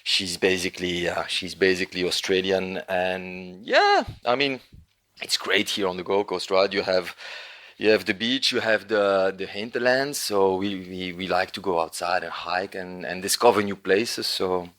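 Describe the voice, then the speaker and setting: Guest spot: a French-accented man, lowish pitch, easygoing chatter full of restarts and "right?". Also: normal speed, fairly bright tone. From Congo. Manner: loose and chatty, many restarts and "right?" tags. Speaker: guest consultant. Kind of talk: casual podcast interview.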